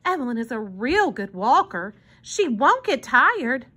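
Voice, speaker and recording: A woman's voice: high voice, big pitch swings, a little slow, a bit bright, husky, heavily acted. A woman voicing an earnest, polite and hopeful little girl in a storybook, with an eager, pleading manner.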